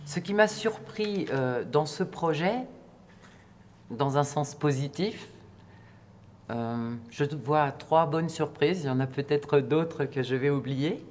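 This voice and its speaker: A man giving a reflective interview, speaking hesitantly and deliberately as a teacher-trainer. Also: fairly high pitch, slight background noise.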